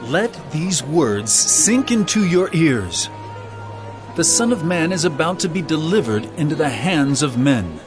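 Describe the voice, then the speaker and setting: Man acting authoritative master, earnest, declamatory, lively high pitch, Bible drama, music behind. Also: measured pace. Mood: instructing.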